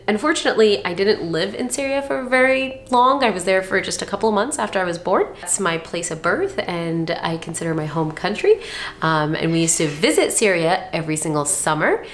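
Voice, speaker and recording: A woman's voice: fairly low pitch, lively intonation, normal speed, fairly bright tone, slight background noise. A young adult woman, chatting casually and informally to viewers as a friendly vlog host. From Dubai and Northern California. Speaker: vlog host, surgery resident. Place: indoor room by a window.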